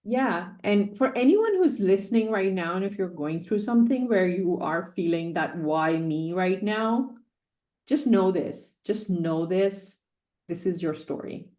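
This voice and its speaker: A woman's voice: lowish voice, expressive, a little slow, dark tone, echoey room. A woman in her forties telling her personal story as a podcast guest, earnest and repeating key phrases for emphasis. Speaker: guest, sales coach.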